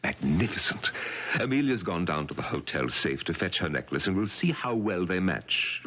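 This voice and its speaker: An actor playing a self-assured, pompous titled gentleman of wealth in a 1970s radio drama. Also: sits low, big pitch swings, normal speed, full character voice. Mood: smug, amused. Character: smug, wealthy titled gentleman, sure of himself. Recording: dull, slightly noisy vintage radio broadcast.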